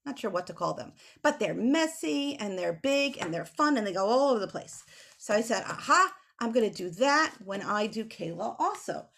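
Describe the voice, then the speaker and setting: A middle-aged woman leading a drawing lesson for children, explaining simply with animated emphasis on key words. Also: fairly high pitch, normal speed, creaky. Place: small home room with bookshelves. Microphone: computer mic on a video call.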